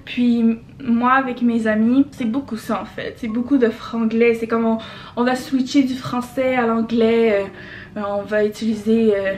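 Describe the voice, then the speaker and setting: Chatty young Québécoise vlogger. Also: female voice, fairly high pitch, little pitch movement, measured pace, darkish tone, a little hiss or hum. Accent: Québécois French. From Quebec, Canada. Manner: chatty, frequent hesitations, mixes in English words. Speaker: beauty vlogger. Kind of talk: casual makeup tutorial chat. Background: background music.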